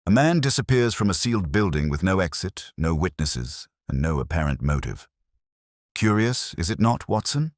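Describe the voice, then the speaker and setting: A man voicing a shrewd, coolly analytical Victorian gentleman detective with precise, formal speech in an audiobook. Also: low-pitched, sing-song, normal speed, no room sound, clean studio sound. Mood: probing, intrigued. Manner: precise, formal, musing deductions.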